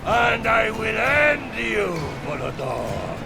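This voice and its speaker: An actor playing a vain, scheming megalomaniac count in a video game, declaiming in a bombastic, grandiose way. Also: male voice, sits high, wildly swooping pitch, slow pace, creaky, loud music behind the voice, full character voice. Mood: defiant, ranting. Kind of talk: theatrical video game villain acting.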